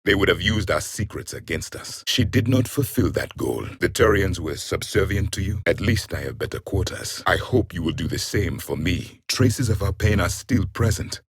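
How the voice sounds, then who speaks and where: An actor playing an ancient alien warrior in a video game, the grim and scornful last of his race, with a husky, raspy voice and stern, haughty, formal phrasing. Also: low-pitched, animated, normal speed, hardly any pauses, dry recording, studio quality, heavily acted. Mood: grim, disdainful. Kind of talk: video game character voice lines.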